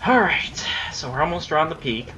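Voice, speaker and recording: A man's voice: a little high, animated, a little fast, sharp tone, highs cut off, a little hiss or hum. A young man in his late teens rambling casually about his recording plans while playing a game, swearing when things go wrong. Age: young adult. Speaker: gaming YouTuber. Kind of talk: casual commentary over gameplay.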